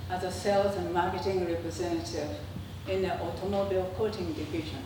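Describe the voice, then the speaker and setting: Older female presenter, native Japanese speaker with Japanese accent, measured halting delivery, far from the mic with clear background noise. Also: fairly low pitch, fairly even intonation, heavy reverb. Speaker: presenter, traditional Japanese dancer. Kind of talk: prepared autobiographical slide talk.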